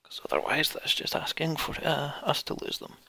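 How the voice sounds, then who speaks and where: Gravelly man muttering through game commentary. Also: fairly high pitch, animated, moderate pace, pushed and bright, dry recording. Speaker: let's play host. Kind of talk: casual game commentary, thinking aloud.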